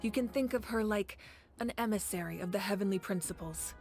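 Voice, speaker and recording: An actress playing a calm, dignified warrior leader in a video game, speaking in a measured, firm, formal way. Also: female voice, medium pitch, natural voice. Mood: composed, firm. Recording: background music under the voice.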